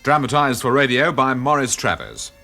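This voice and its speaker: A man's voice: medium pitch, big pitch swings, normal speed, creaky. A man announcing the credits of a radio drama in a scripted style. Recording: loud theme music under voice. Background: loud music.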